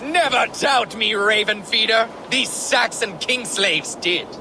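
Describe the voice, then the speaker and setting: An actor playing a proud, loyal Viking jarl in a video game, speaking in a solemn, formal manner. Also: male voice, high voice, expressive, slow pace, noisy background, exaggerated voice. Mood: rousing.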